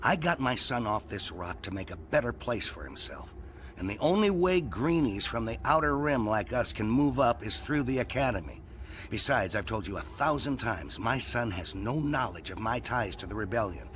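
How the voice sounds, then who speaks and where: A man's voice: medium pitch, lively intonation, normal speed, a bit bright, a little hiss or hum, lightly acted. Weary, determined rebel leader played by a man. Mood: irritated, firm. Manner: earnest, firm, plain-spoken. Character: weary, determined middle-aged rebel leader and farmer father. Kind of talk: audio drama acting and narration.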